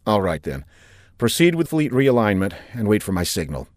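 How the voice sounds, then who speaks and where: A man's voice: very animated, normal speed, no echo, clean studio sound. A man voicing a groggy older male starship captain just woken from a nightmare. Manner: groggy, low muttering.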